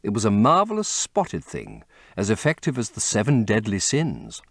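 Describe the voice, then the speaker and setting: A man doing a languid, witty gentleman in a story reading, low-voiced and drawling, his pitch rolling up and down through each remark. Close, dry recording. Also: huge pitch swings, normal speed, vocal fry, dry recording, full character voice. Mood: amused.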